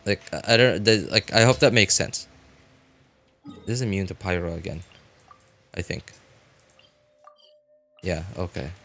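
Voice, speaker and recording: A man giving casual live game commentary as a streamer, rambling and halting, often breaking off mid-thought to react. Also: low-pitched, fairly bright tone, a little hiss or hum.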